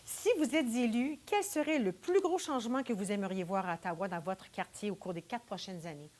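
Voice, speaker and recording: A woman moderating a studio debate, speaking in a brisk, businesslike way. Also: mid-range voice, a little mellow, a little hiss or hum.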